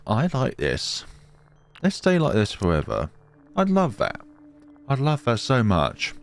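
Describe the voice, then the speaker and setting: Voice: mid-range voice, wildly swooping pitch, a little slow, creaky. A gamer giving casual commentary, reacting and reading game text aloud expressively, close to the microphone. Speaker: gamer, let's player.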